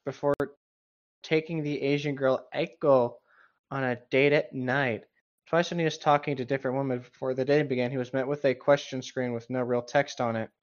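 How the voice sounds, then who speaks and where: A man's voice: mid-range voice, highs cut off. A man co-hosting casual, mocking commentary while reading and reacting to a story. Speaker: co-host reacting to a horror story.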